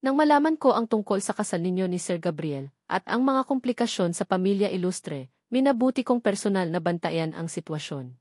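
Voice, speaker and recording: A man's voice: high-pitched, lively intonation, brisk, darkish tone, no echo, clean studio sound. Audio drama security agent, male, read by a woman: loyal, discreet, courteous, sincere. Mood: guarded, assured. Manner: formal, polite, sincere.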